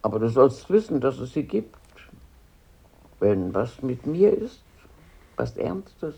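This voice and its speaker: A woman playing a frail, warm old grandmother in a radio play, with a gentle, sighing delivery. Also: a bass voice, very animated, slow pace, gravelly, husky, dull audio, exaggerated voice. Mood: earnest, subdued. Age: older. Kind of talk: German radio play acting.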